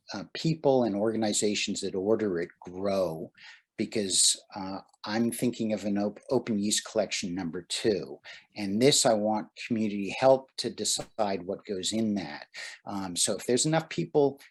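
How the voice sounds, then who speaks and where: A man's voice: lowish voice, darkish tone, breathy edge. A man presenting at an online workshop, talking casually with many fillers and restarts over a video call.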